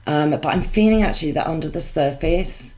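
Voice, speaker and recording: A woman's voice: sits low, brisk, darkish tone. Congested woman reading tarot. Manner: chatty, musing, many fillers and restarts. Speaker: tarot reader.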